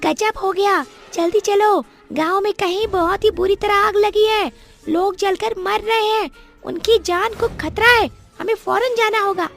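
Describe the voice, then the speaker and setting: A woman voicing a protective, bossy witch in an animated horror story, speaking emphatically. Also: extremely high pitch, a little slow, creaky, faint music behind, heavily acted. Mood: alarmed. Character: protective female witch, bossy helper of villagers.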